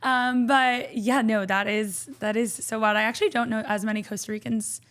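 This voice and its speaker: A young woman hosting a podcast interview, chatty and lively with quick asides. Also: fairly high pitch. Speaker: podcast host.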